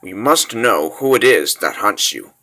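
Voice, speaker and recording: A man voicing a gentle, protective war golem in a fantasy comic dub, speaking in a formal, deliberate and grave way. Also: fairly low pitch, very animated, exaggerated voice, poor audio. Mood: gentle, solemn.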